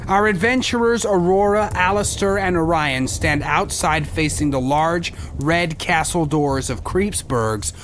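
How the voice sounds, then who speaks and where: A man's voice: a little high, unhurried, few pauses, a bit bright, slight background noise, rough recording. A man giving theatrical scripted narration as the storyteller of an adventure audio drama.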